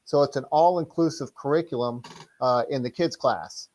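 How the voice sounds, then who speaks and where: Middle-aged man explaining in halting, rambling bursts. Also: mid-range voice, a little mellow. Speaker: martial arts instructor, podcast guest. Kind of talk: casual conversational interview.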